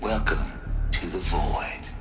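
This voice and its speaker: A man's voice: low voice, slow, loud music behind the voice. An actor playing a flamboyant, irreverent male scientist in a video game, talking in a sardonic, theatrical way. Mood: gleeful, sardonic. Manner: theatrical, sardonic, swinging between slang and grandeur. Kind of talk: video game voice acting, recorded briefing.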